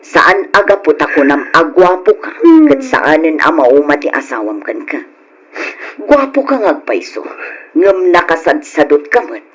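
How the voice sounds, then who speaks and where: An older mother in an old radio drama. Her voice is low and husky, and she admonishes and laments in exasperation, with care underneath. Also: exaggerated voice, rough recording. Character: older scolding mother, exasperated but caring.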